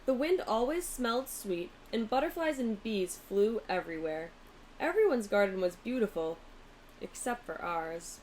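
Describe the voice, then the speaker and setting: A woman's voice: medium pitch, expressive, moderate pace, darkish tone, poor audio. Woman reading a storybook, faint background noise. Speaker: teacher reading a picture book aloud. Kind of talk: storybook narration.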